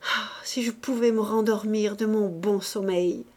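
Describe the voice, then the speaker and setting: A woman's voice: medium pitch, fairly even intonation, a little slow, darkish tone, lightly acted. A woman voicing a distraught, guilt-ridden married woman who pleads and confesses feverishly, in dramatic audiobook style. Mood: anguished, exalted. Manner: pleading, exalted, breaking into whispers. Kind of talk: dramatic audiobook character acting.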